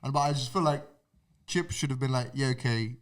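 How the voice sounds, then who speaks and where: A man's voice: mid-range voice, fairly quick. A man co-hosting an interview, chatty and arguing his point. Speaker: co-host. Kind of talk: casual interview chat.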